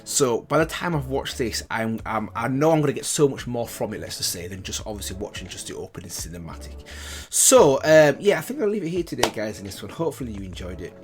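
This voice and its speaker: A man's voice: mid-range voice, very animated, quick pace, faint music behind. A man hosting a reaction video with casual commentary, thinking aloud and repeating himself.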